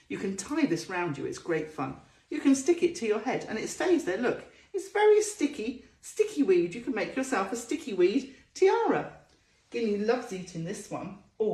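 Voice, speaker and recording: Animated woman presenting to young children. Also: mid-range voice. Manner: sing-song, slow emphasis on key words, addressing children directly. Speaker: children's storytime presenter and teacher. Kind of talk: show-and-tell lesson for young children. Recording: roomy echo.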